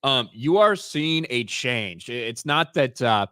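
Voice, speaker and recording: A man's voice: mid-range voice, animated, takes it slowly, bright, forceful tone, no echo, clean studio sound. A man hosting a casual live-stream talk show, reading viewer messages aloud and chatting loosely, swearing now and then. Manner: reads viewer super chats aloud, swears freely. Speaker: show host.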